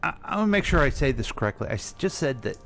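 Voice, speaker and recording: A male radio host having a casual conversation, chatty and quick to jump in with questions. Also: mid-range voice, very animated, fairly bright tone, faint music behind. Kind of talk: radio talk show conversation.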